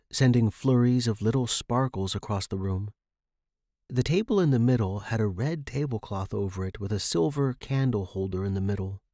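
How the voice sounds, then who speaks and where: A man's voice: lowish voice, darkish tone, no echo. A man narrating a scripted story for a fiction podcast, recorded close in a dry space.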